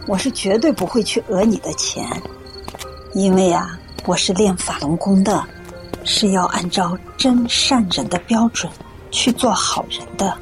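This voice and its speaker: A woman's voice: medium pitch, natural voice. Woman playing a kindly, earnest middle-aged auntie. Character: kindly, earnest older auntie, patient and moralizing. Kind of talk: radio drama acting.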